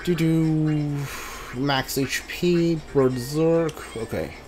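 Halting, slow-paced gamer thinking aloud. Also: medium pitch, a little mellow. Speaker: video game player and commentator. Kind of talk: casual live playthrough commentary. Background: video game music.

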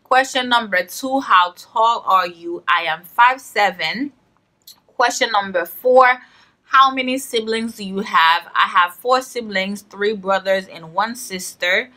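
A woman's voice: mid-range voice, a little slow, sharp tone. A young woman in her twenties with a Haitian accent, chatting earnestly to camera in her bedroom with lots of "like" fillers. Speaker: vlogger. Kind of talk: casual chat to camera. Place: bedroom, indoors.

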